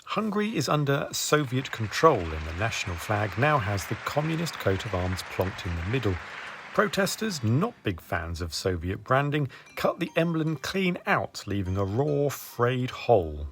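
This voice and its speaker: A man's voice: mid-range voice, sing-song, normal speed, vocal fry, background noise. A man hosting a history video, narrating a script with dry, wry asides. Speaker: video host. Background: background music.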